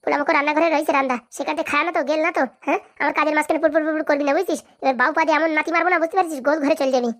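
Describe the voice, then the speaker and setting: A woman's voice: high-pitched, steady tone, racing, few pauses, fairly bright tone. A woman voicing a greedy, domineering village madam with a rural Bengali accent in a comedy cartoon. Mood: scolding. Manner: domineering, scolding. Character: bossy, greedy village madam running for election.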